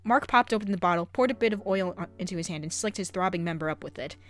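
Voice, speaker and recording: A woman's voice: on the low side, quick pace, a bit bright. A giggly, flustered woman streamer reading her own fan fiction aloud, stumbling over words and breaking into chatty asides. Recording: music box music behind.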